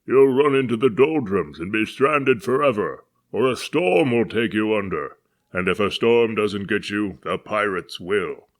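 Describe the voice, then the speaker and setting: A man voicing a booming, bellowing old man who spits out ominous warnings in a storybook. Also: lively intonation, a little slow. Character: booming old man, doom-saying scoundrel.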